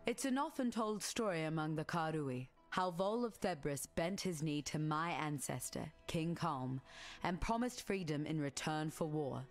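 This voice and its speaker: A stern, low-voiced warrior woman. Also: expressive, soft background music. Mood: proud. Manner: solemn, measured, formal. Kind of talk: scripted video game character dialogue.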